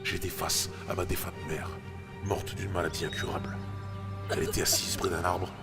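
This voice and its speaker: An actor playing a bitter, vengeful scientist villain, brooding and theatrical, in a dramatic audio fiction. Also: male voice, low voice, level tone, normal speed, vocal fry. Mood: brooding, grandiose. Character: bitter vengeful male villain, rejected scientist turned illusionist. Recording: loud music behind the voice.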